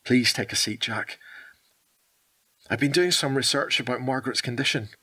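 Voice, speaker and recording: A man playing a calm, measured hospital doctor in a radio drama, professional, reassuring and deliberate. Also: medium pitch, slightly rough. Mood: composed, serious.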